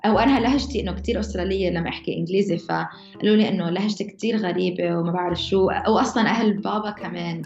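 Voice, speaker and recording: A woman's voice: fairly low pitch, racing, darkish tone. A young woman with a mixed Levantine Arabic accent telling her own story casually, often restarting mid-phrase. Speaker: interviewee. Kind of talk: personal storytelling. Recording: roomy, slightly dull, music under.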